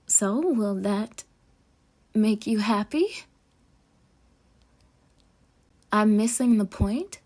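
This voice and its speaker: A woman playing a sweetly possessive, obsessed girlfriend in an intimate roleplay audio, coaxing and dramatic with breathy asides. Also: mid-range voice, animated, slow pace, mellow. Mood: insistent, doting. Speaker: ASMR voice actor.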